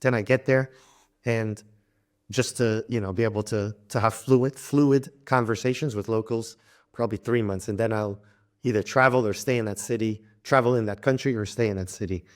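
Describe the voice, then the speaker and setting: A young man from Louisiana, an interview guest talking casually about travel and language learning, relaxed and halting, with frequent restarts and light laughs. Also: fairly low pitch. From Louisiana, United States.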